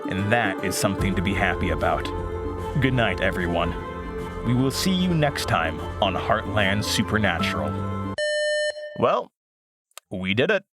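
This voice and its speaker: A man acting as an earnest, reflective radio show host in an audio drama, speaking in a measured way with pauses. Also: medium pitch, lightly acted. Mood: relieved, casual.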